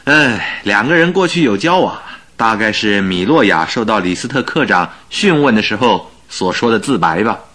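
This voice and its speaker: An actor playing a good-natured, wry husband and detective's companion in a radio drama, bantering and exclamatory. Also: male voice, on the low side, animated, moderate pace, few pauses, pushed and bright.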